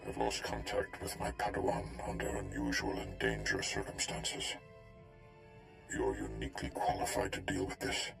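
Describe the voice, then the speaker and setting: An actor playing a wise, solemn old Jedi Master in a video game, deep and gravelly, speaking in a measured, formal way. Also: male voice, level tone, a bit bright, soft background music, exaggerated voice. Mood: grave, assured.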